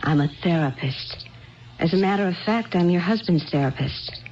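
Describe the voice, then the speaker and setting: An actress playing a composed, authoritative therapist in a 1970s radio drama, reassuring and measured. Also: female voice, fairly low pitch, expressive, a little mellow, lo-fi sound, natural voice. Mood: reassuring, brisk. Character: calm, firm woman therapist taking charge.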